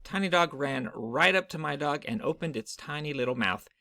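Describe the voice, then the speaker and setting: A man's voice: a little high, expressive, moderate pace, pushed and bright, dry recording. A man narrating a children's story, read aloud from a storybook.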